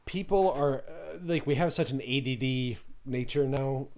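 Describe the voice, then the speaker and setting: Man, mid-range voice, casual chat, halting, lots of filler words. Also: mid-range voice, a little mellow.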